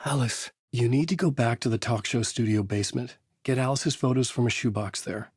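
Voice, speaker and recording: An actor playing a troubled novelist in a horror video game, narrating his dark story in a husky, grave, deliberate noir style. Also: mid-range voice, no room sound, clean studio sound. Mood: grave, brooding.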